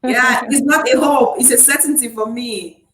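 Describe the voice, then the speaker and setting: A woman's voice: a little high, fairly even intonation, normal speed, echoey room, a crowd in the background, low-quality recording. A young woman from Cameroon co-hosting an interview, speaking effusively and full of praise. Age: young adult. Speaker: co-host. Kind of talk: casual interview chat.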